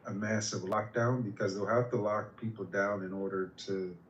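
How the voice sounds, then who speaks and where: A man's voice: fairly low pitch, little pitch movement, normal speed. A man calling into a group audio room, delivering an earnest off-the-cuff monologue. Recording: echoey, noisy online group audio.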